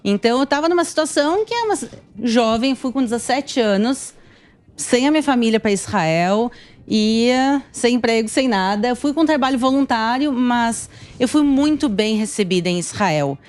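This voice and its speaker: Higher-pitched woman, southern Brazilian accent. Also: expressive, moderate pace, a little mellow. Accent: southern Brazilian, Porto Alegre. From Porto Alegre, southern Brazil. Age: 30s. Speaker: tour guide and YouTuber guest. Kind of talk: conversational interview storytelling.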